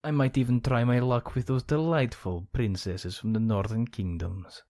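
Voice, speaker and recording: A man's voice: medium pitch, fairly even intonation, normal speed, a little mellow, dry recording, close to an everyday voice. A man playing a suave, gossipy, scheming count in an ASMR roleplay, speaking in a genteel, theatrical manner. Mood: amused, gossipy. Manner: genteel, theatrical, arch phrasing.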